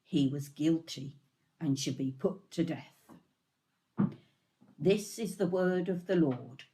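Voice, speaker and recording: A woman reading scripture solemnly and deliberately for a church service, giving emphasis to quoted speech. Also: sits low, noisy background. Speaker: scripture reader. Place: online video-call service.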